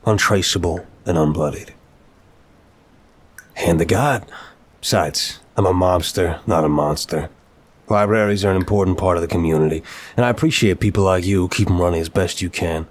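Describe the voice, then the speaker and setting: A man's voice: low-pitched, normal speed. A man acting as an apologetic, charming mafia boss in a scripted audio roleplay, talking in wry, conversational gangster slang. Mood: apologetic, reassuring.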